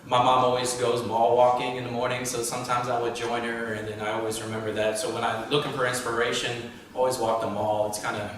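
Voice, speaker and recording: A man's voice: mid-range voice, completely flat delivery, quick pace, hardly any pauses, fairly bright tone. Male screenwriter, casual panel answers, recorded far from the mic with heavy echo. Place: indoor room at a table read event. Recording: distant, very echoey, noisy room.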